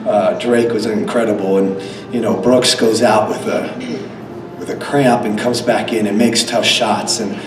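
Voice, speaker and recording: A man coaching a team, slightly hoarse from a cold, answering press questions with many fillers and restarts. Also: on the low side, level tone, a little slow. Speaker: head coach. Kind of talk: post-game press conference answers. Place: press conference room. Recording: echoey room, distant mic, background noise.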